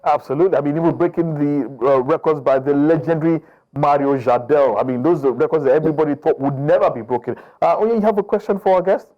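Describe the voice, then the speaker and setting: A male sports show host, briskly affirming and summing up the guest's points. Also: fairly high pitch, lo-fi sound. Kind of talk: broadcast sports talk.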